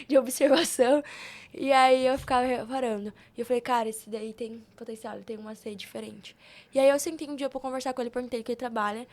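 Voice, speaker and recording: High-pitched young Brazilian woman, chatting informally. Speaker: podcast guest. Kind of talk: casual podcast conversation, personal storytelling.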